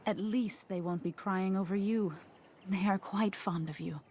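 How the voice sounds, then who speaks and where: An actress playing a solemn elven herder in a video game, speaking in a measured, earnest, formal way. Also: female voice, mid-range voice, fairly even intonation, dark tone, slight background noise, close to an everyday voice. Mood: solemn, concerned. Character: solemn elven woman tending the clan's sacred herd animals. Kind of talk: video game dialogue acting.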